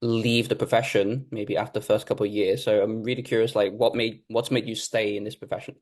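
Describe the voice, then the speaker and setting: A man with a mild Merseyside accent hosting an interview, encouraging and quick with agreeing murmurs. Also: on the low side, little pitch movement, darkish tone. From Merseyside, England. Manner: encouraging, frequent backchannel murmurs. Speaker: podcast host, teacher coach. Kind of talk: casual interview.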